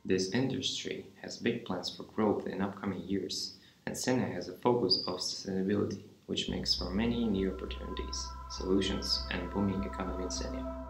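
Low, creaky teenage male narrator. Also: fairly even intonation, moderate pace. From Russia. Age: teens. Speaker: student narrator. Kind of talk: scripted documentary narration. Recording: roomy sound with music underneath.

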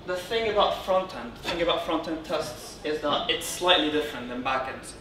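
A man from Syria speaks to a conference in an informal, halting way, restarting his phrases. His voice sits a little high and has a bright, forceful edge. It is picked up from a distance in a roomy space. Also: expressive. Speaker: conference speaker, software consultant. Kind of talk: informal technical conference presentation. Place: indoor darkened conference room, on stage beside a lectern. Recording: roomy, distant, noisy room sound.

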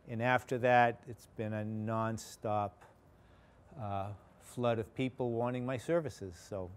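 An older man with a Boston accent, giving a casual guest lecture in a large hall and telling his story in a rambling way, full of restarts and fillers. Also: mid-range voice. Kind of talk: informal campus lecture.

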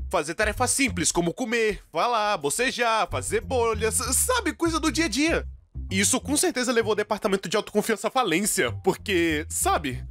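A young man with a Brazilian accent narrating a scripted comic story in an exaggerated, expressive way. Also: high voice. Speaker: dubber narrating an animated story.

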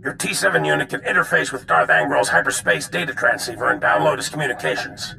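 A very gravelly, piercingly forceful alien general from a video game. He gives clipped, authoritative briefings over clear background noise. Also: a little high, quick pace, heavily acted. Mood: brisk, commanding.

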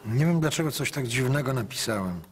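A man's voice: mid-range voice, animated, brisk, slight background noise, lightly acted. An actor playing a hesitant, reflective man unsure of himself in a radio play. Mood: reflective, confessional. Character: hesitant, confessional man, a puzzled museum visitor. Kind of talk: radio drama acting. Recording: music under the voice. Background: music.

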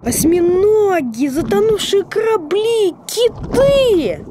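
A woman's voice: extremely high pitch, very animated, slow, background noise, full character voice. A woman playing a knowing little child who explains and corrects in a children's educational cartoon. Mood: instructive.

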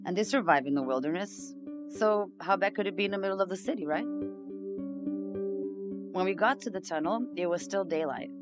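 A woman's voice: lowish voice, lively intonation, fairly quick, long pauses, close to an everyday voice. An actress playing an earnest, weary homeless migrant woman, confiding her story in a conversational audio-drama monologue. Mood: reflective, rueful. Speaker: narrator-protagonist of an audio drama. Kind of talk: first-person dramatic monologue. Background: plucked guitar music.

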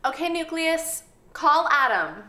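A woman's voice: high voice, sing-song, slow pace, heavily acted. A woman in her thirties playing a wry, matter-of-fact wife and mother in a commercial. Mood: commanding. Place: home kitchen, indoors. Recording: echoey kitchen, faint background noise.

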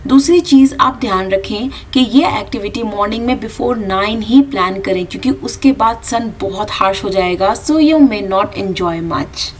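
A woman's voice: mid-range voice, measured pace, few pauses, distant mic, poor audio. Indian woman narrating travel tips. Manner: tips-giving, mixes Hindi and English. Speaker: vlogger narrating. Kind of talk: travel vlog voice-over.

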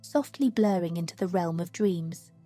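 A woman reading a scripted guided sleep meditation in a slow, soothing, hushed manner. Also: fairly low pitch, lively intonation, no echo. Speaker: meditation narrator. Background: music.

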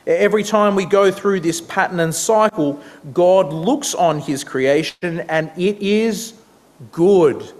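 A man in his thirties with an Australian accent preaching an animated sermon into a stand mic in a church hall. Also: high-pitched, slow pace. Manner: animated, big hand gestures while preaching. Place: church hall with long reverb. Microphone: stand mic at a lectern. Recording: reverberant hall.

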